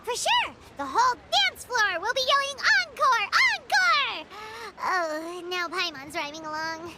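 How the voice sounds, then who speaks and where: A woman voicing a tiny, chatty, excitable childlike fairy companion in a video game, squeaky and fussy. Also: a little slow, very noisy, full character voice. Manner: speaks of herself in third person. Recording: music behind the voice.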